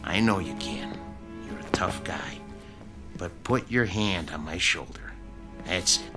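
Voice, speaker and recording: A hesitant, soft-spoken handler. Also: male voice, sits low, measured pace. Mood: gentle. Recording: old radio drama recording with music.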